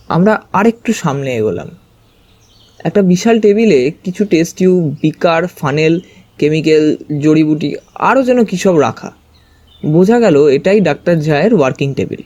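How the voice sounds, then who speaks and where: A man's voice: fairly high pitch, mellow. A man narrating a story dramatically in the first person.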